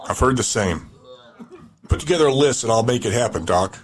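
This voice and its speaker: An actor playing a steady male town leader who speaks firmly and decisively. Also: medium pitch, fairly quick, natural voice. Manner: firm, decisive.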